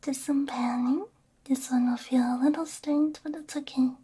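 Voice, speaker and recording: A woman whispering softly in an ASMR roleplay, gentle and reassuring, speaking close into a binaural microphone. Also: high voice, fairly even intonation, moderate pace. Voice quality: breathy, mostly whispered. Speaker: ASMR roleplay creator playing a robot repair technician.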